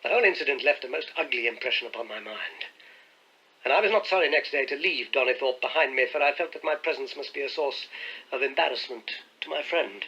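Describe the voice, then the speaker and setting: A man narrating an audiobook in a measured, crisp storytelling manner. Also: medium pitch, pushed and bright. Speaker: audiobook reader. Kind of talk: scripted narration. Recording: dull lo-fi audiobook recording.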